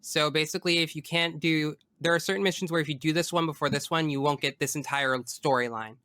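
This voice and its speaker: A man with a fairly high, bright voice talks as a podcast guest in a casual, enthusiastic way. His delivery is effusive and emphatic, with a smooth, clear tone and a dry sound. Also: fairly even intonation, quick pace, dry recording. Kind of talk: casual enthusiastic chat about video games.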